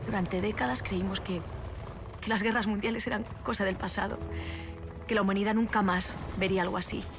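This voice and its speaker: An actress plays a solemn woman narrator in an audio drama. Her voice has a gravelly creak and moves with measured, deliberate pauses. Music runs clearly behind her. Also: mid-range voice, steady tone, natural voice. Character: grave woman narrator looking back on war. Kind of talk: dramatic scripted monologue in an audio fiction series.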